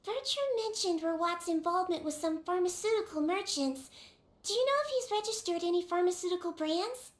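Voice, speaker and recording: Childlike game nurse: tiny high voice, earnest, polite, thoughtful, in a roomy echo. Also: female voice, brisk. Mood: composed. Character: small childlike female head nurse, gentle and thoughtful. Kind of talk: video game voice acting.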